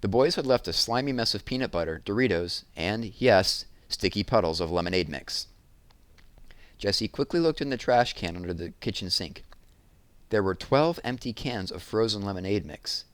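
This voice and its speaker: A man's voice: fairly low pitch, expressive, normal speed, no echo. A man reading a children's novel aloud as a storytelling narrator.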